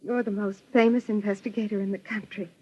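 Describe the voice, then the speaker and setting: An American woman playing an anxious, well-bred bereaved daughter in an old-time radio melodrama, speaking earnestly and politely with a husky voice. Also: mid-range voice, steady tone, fast talker, warm tone, highs cut off, lightly acted. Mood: pleading, polite. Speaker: radio actress.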